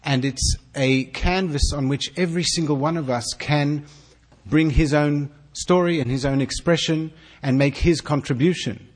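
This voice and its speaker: A man with an Australian accent giving an earnest, halting welcome speech to an evening audience, often restarting his words. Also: a little high. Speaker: consul general giving opening remarks.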